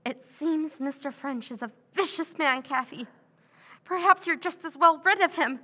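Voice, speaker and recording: Scheming woman acting all nervous, actress playing her, stammery, high bright voice. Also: moderate pace. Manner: stammering, hesitant, breathy pleading. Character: scheming woman Pamela feigning nervous distress. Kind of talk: 1940s radio acting.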